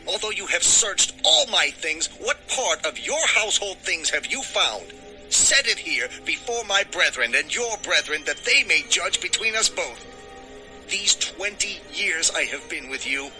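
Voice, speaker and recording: A man's voice: high-pitched, big pitch swings, unhurried, sharp tone, faint music behind, full character voice, rough recording. An actor playing an angry, aggrieved herdsman in a dramatized scripture recording, declaiming with impassioned force. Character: Jacob, angry, aggrieved long-serving herdsman protesting injustice. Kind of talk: dramatized audio scripture acting.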